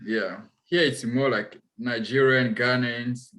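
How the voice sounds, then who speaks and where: A young man from Congo hosts an easygoing conversation. His voice sits at a middle pitch, and he laughs a lot and often restarts mid-sentence as he looks for words. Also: steady tone, slow, a bit bright. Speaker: host. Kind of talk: casual chat.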